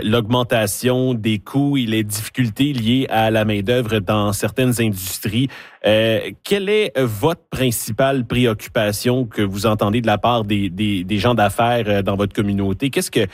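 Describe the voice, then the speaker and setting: A male radio host asks his questions in a measured, steady way, pausing often. His voice is close and dry, with almost no room sound around it. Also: lowish voice, dry recording. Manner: measured, frequent hesitations. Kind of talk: radio interview. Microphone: studio microphone.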